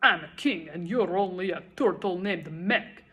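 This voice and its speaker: Lady doing a loud bossy turtle king from a kids storybook, full of himself, bit husky. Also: on the low side, normal speed. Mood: imperious, bellowing. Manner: bellowing, imperious, self-admiring. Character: pompous, tyrannical male turtle king, loud and boastful.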